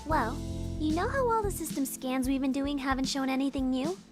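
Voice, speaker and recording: A woman's voice: sits high, a little fast, natural voice. A woman voicing a playful young female hacker in a visual novel, coy and teasing, over game music. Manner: teasing, upbeat, lively delivery.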